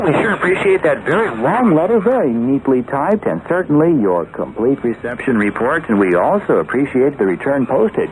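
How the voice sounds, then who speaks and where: An American male radio host presenting a listener mailbag, heard through a noisy 1980s shortwave recording. His pitch is middling but swings very widely, and his phrasing is measured and courteous. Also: creaky. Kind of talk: mailbag program presenting, reading listener letters.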